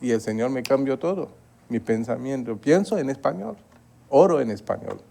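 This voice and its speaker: A man's voice: medium pitch, animated, normal speed, creaky, warm tone. A man preaching a Bible study in a church, earnest and repeating himself, often asking his listeners to agree. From Haiti. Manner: earnest, repeats words, asks the congregation to agree.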